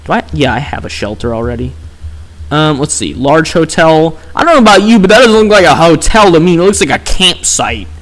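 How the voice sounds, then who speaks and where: A man's voice: fairly high pitch, expressive, a little fast, a bit bright. A man streaming a video game, chatting casually and scolding the game as he plays. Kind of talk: casual gameplay commentary.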